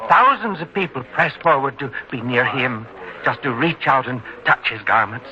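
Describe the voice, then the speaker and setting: An actor playing an earnest, devout neighbour in a biblical radio drama, telling things solemnly. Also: male voice, medium pitch, expressive, normal speed, pushed and bright. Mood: earnest, troubled. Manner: solemn, earnest storytelling delivery. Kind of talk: old-time radio drama acting. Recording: lo-fi old-time radio sound, faint noise.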